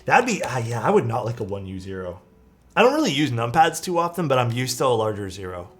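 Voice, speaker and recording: A man's voice: medium pitch, fast. A man with a soothing voice chatting casually on a live stream while he builds a keyboard. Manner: relaxed, chatty, reads viewer chat aloud and answers. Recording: clear background music under voice. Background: music playing and keyboard clacking.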